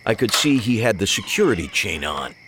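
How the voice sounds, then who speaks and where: A man's voice: on the low side, big pitch swings, fairly quick, background noise. A man reading scripted first-person mystery narration with wry, dry humor.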